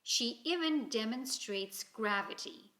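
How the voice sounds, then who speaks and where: An echoey room carries this woman's storybook narration. Her voice is fairly high and bright, and she reads expressively and deliberately for young listeners. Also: expressive. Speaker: storybook reader. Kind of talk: children's story narration, read aloud. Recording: roomy, echoing indoor sound.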